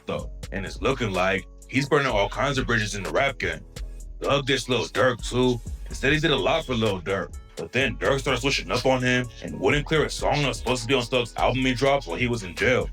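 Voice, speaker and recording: A man's voice: medium pitch, a bit bright. Man narrating slangy hip-hop gossip over music. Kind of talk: scripted narration.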